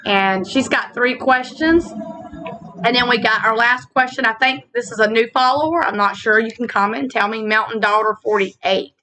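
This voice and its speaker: A woman with a slightly raspy voice, hosting a casual faith vlog, talking earnestly with fillers and slow reflective stretches into a laptop mic. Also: on the high side, bright, forceful tone, slight background noise, low-quality recording. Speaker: channel host. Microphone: laptop built-in mic.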